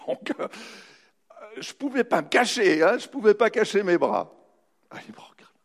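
A man giving a humorous, anecdotal motivational talk, telling his story with dramatic pauses. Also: high voice, very animated. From raised bilingual French-German, in a French-German family. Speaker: motivational speaker. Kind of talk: anecdotal public talk to an audience.

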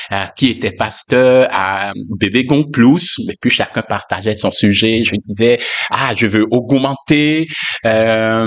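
A man with a mid-range voice tells his story as a pastor sharing a personal testimony. He speaks reflectively and hesitantly, pausing often with fillers as he searches for words. His pitch sits in the ordinary middle for a man. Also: fairly bright tone.

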